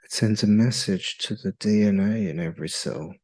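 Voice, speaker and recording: A man leading a guided meditation in a soothing, hypnotic, measured way with long pauses. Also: fairly low pitch, a little mellow, slightly rough.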